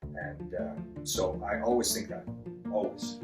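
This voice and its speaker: A man born in Zambia, a guest on an interview, speaking earnestly and haltingly with many restarts. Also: sits low, distant mic, over music. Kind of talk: casual interview. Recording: echoey room, set back from the mic.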